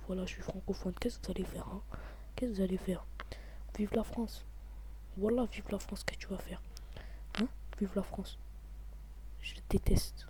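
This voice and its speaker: Gaming commentator, male, mellow half-whispered voice, excitable slang, faint noise behind. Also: sits high. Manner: hushed, half-whispered delivery; excitable, slangy, repeats exclamations. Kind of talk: casual gameplay commentary.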